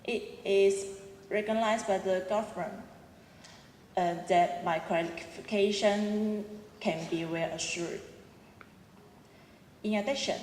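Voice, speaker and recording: A woman's voice: mid-range voice, darkish tone, a little hiss or hum. A young woman in her twenties with a Cantonese accent, giving an earnest prepared speech as a student at a ceremony, with small restarts. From Hong Kong. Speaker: student speaker. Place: large auditorium. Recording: echoey hall, roomy reverb.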